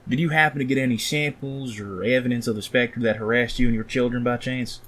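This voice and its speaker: A man acting a measured, formal ghost hunter with a deadpan manner in an audio drama. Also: medium pitch, natural voice. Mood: calm, formal.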